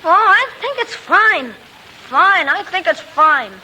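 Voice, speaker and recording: A man's voice: very high-pitched, animated, slow pace, bright, forceful tone, heavily acted. A man playing a mischievous young boy in a 1940s radio comedy, mock-polite and wisecracking. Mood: grudging. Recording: faintly noisy old 1940s radio recording.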